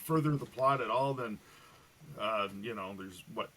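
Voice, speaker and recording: A man speaking casually as a guest author in a podcast conversation, with a husky voice. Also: mid-range voice, unhurried, fairly bright tone.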